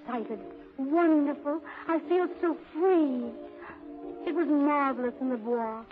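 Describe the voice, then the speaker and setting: A high-voiced, dreamy young secretary. She speaks with wistful theatrical innocence in 1930s radio drama style. The vintage recording is muffled, with faint music behind. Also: a little slow.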